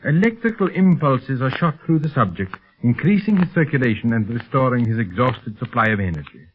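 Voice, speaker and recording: An actor playing a suave, secretly criminal scientist with a formal, condescending manner in a 1930s radio serial. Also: male voice, mid-range voice, expressive, a little fast, slightly rough. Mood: smooth, boastful. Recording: crackly, narrow-band 1930s radio recording.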